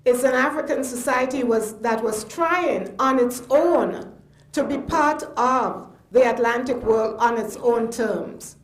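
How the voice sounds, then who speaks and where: Older Trinidadian woman, higher voice, echoey room. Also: unhurried. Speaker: guest lecturer. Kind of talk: spontaneous public lecture commentary.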